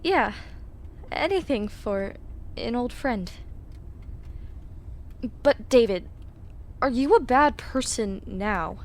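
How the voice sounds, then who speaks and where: A woman's voice: a little high, expressive, slow pace, pauses often, slight background noise. A young woman playing a chatty, self-assured teenage girl in an audio drama, with a perky, teasing manner. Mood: pointed, self-righteous. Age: young adult.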